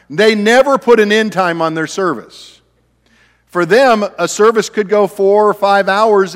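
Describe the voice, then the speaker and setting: High-voiced man in his fifties, preaching. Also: a bit bright, big-room sound. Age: 50s. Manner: conversational, chatty asides to the congregation. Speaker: pastor. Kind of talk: sermon. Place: church sanctuary.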